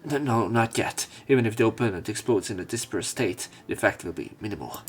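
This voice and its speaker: A man's voice: lowish voice, lively intonation, brisk, breathy edge. A man narrating a visual novel aloud for a let's play, reading the dialogue with dramatic urgency. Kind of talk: dramatic read-aloud of game text.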